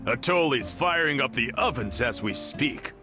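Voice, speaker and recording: A man as a courteous, lively-voiced young guardian. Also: medium pitch, normal speed, natural voice. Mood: friendly. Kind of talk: video game voice acting. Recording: music playing behind the voice.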